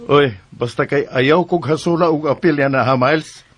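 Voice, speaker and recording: An actor playing a cautious, doubtful husband in Bisaya radio drama, hesitant, with a Cebuano accent and slightly muffled sound. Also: male voice, on the high side, normal speed, lightly acted. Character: cautious, doubtful husband, wary of lending on credit.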